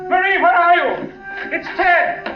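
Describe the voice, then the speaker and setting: Distraught young husband, very high-pitched. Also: male voice, unhurried, music in the background. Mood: frantic, desperate. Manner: stammering, agitated. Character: distraught young husband, nervous and desperate about his wife. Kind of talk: vintage radio suspense drama acting. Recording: dull vintage radio recording.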